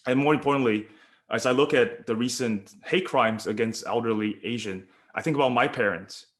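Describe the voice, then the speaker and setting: A man's voice: mid-range voice, moderate pace, a bit bright. A man telling a personal story earnestly and steadily during a video call discussion. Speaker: panelist.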